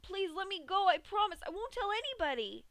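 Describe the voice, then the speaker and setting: A woman's voice: very high-pitched, very animated, a little fast, a bit bright, dry recording, pitched above the performer's own voice, exaggerated voice. A woman voicing a brash young female pegasus pony who pleads in panic. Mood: pleading.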